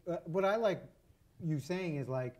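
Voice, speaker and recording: A man hosting a podcast, speaking in a halting, hesitant way. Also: a little high, expressive, a little mellow. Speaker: podcast host. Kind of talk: casual podcast interview.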